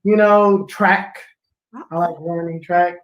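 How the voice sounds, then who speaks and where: A sixteen-year-old boy, a guest singer, talking in a relaxed, boastful way. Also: sits high, slow, highs cut off. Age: teenager. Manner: relaxed, boastful, repeats phrases. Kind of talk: casual interview chat. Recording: roomy echo.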